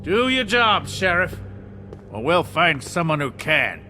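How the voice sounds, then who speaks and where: An actor playing a pompous, petulant acting mayor in a video game, haughty and fussy, with theatrical enunciation. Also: male voice, on the high side, big pitch swings, a little slow, pushed and bright, slight background noise, exaggerated voice. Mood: irritable, bossy.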